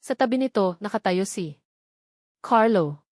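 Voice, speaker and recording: A woman's voice: mid-range voice, lively intonation, unhurried, a little mellow, no echo, clean studio sound. A woman with a Filipino accent reading a scripted story as a dramatic audiobook narrator.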